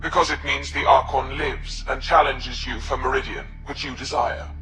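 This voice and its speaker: An actor playing a proud, calculating alien military commander in a video game, speaking formally and with measured authority. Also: male voice, medium pitch, fairly even intonation, music in the background, close to an everyday voice, poor audio. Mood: stern, resolute. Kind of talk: video game character acting.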